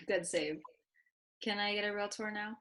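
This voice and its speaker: A woman's voice: medium pitch, steady tone, unhurried, a bit bright, natural voice. A woman playing a teasing, self-assured young partner in a script read-through. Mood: teasing, dry.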